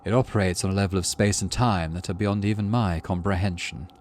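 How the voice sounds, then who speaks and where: A man voicing a calm, teasing, cryptic alien forest guide in a visual novel game, with a wry, deliberate manner. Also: low-pitched, slight background noise, natural voice. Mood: musing.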